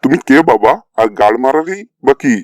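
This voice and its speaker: A man's voice: medium pitch, expressive, a little fast, no room sound, natural voice. A man voicing a middle-aged father of a bride in a comedy cartoon, avuncular and given to repeating his words. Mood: genial, curious. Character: middle-aged father of a bride, genial but easily outraged.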